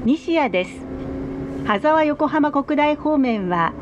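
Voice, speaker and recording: A woman giving polite, formal, scripted announcements on board a train in a measured way. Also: lively intonation. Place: inside a moving train carriage. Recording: dull train PA amid loud carriage noise. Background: train rumble.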